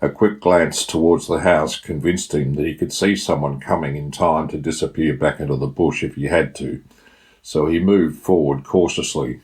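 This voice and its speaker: Very deep-voiced Australian male storyteller. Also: fairly even intonation, moderate pace, darkish tone. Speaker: author reading own short story. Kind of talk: scripted story narration.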